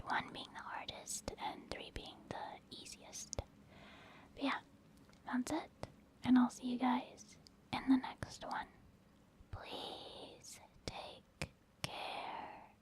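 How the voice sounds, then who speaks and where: Whispering woman doing soft gaming ASMR. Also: high-pitched. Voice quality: breathy, hushed whisper. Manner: gentle, unhurried, softly explaining. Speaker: host of a gaming ASMR channel. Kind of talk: soft-spoken whispered ASMR game commentary.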